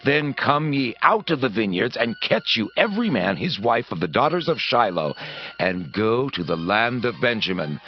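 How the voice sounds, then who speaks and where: Male actor as ancient elder giving counsel, deepish, very varied intonation, emphatic biblical drama, dull audio. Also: sing-song, normal speed. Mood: conspiratorial counsel. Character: scheming Israelite elder giving instructions. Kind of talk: dramatized scripture acting. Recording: dull lo-fi audio, faint music.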